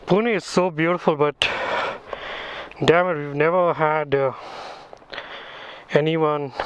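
A man giving casual, informal commentary to viewers as a motovlog host, speaking into a helmet setup outdoors. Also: a little high. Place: outdoors, riding a motorbike on rural roads. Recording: loud wind and motorbike engine noise.

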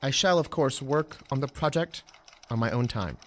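An actor playing a dutiful young Roman scholar-officer, formal and courteous, in an audio drama. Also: male voice, mid-range voice, expressive, normal speed, a little hiss or hum, lightly acted. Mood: earnest. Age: young adult. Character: earnest, dutiful young male Roman chronicler. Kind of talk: full-cast audio drama acting.

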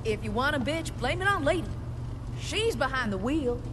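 An actress playing a brash, cocky young woman mechanic in a video game cutscene, talking fast and loud. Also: high-pitched, sing-song, noisy background. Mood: teasing. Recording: game score music behind.

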